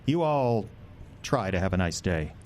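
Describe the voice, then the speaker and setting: A man's voice: low voice, big pitch swings, measured pace, darkish tone, a little hiss or hum. An actor playing a jittery, gullible coffee-shop regular in an audio drama, stammering and agitated about his fears. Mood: suspicious, worried.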